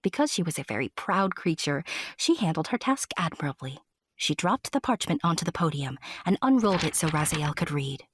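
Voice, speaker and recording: A woman's voice: deep voice, very animated, brisk, breathy edge. A woman narrating a scripted storybook tale with wry, playful asides to the listener.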